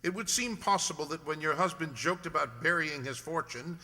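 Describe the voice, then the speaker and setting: A man acts a confident gentleman detective in a radio play. His voice is fairly high and extremely bright, ringing in a hall. He speaks briskly and articulately, used to giving orders. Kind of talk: radio drama acting. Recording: reverberant hall sound.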